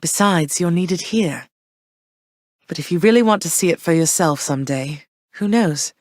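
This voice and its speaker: A stately mother goddess from a video game, voiced by an actress, with a fairly low womanly voice. She phrases things formally and with care, firm but affectionate, with a dry wit. The recording is clean and dry, free of room sound. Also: a little mellow, dry recording, close to an everyday voice. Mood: tender, conflicted. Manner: measured, formal, archaic phrasing. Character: warm, firm, regal mother goddess, gently wry.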